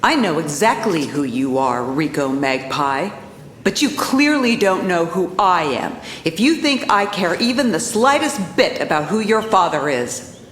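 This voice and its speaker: An actress voicing a stern, unimpressed police commissioner, commanding and sardonic, in an animated drama. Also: female voice, lowish voice, a little slow. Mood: cold, dismissive. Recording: echoey room with faint noise.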